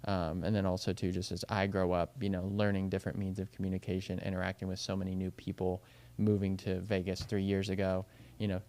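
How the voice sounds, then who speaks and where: A young man with a smooth, clear voice sitting a little low in pitch. He talks in loose, rambling podcast chat, leaning hard on "you know" and "like" as he works out his thoughts as a coach. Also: completely flat delivery, a little fast, a little mellow. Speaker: baseball and softball coach, podcast co-host.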